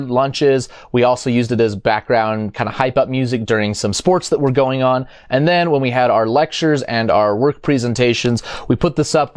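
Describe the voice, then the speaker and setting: A man's voice: medium pitch, clean tone, dry recording, studio quality. A man talking casually and unscripted as a product reviewer, explaining things in a conversational way.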